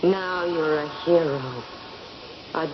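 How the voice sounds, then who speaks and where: A dull, noisy vintage radio recording carries a sorrowful, accusing widow. She speaks very slowly and solemnly, insistent and theatrical, as in a ghostly radio play. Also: low-pitched, steady tone. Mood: mournful, reproachful. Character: accusing, sorrowful young widow in a funeral vision. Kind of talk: 1970s radio drama acting. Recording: muffled, noisy old radio broadcast.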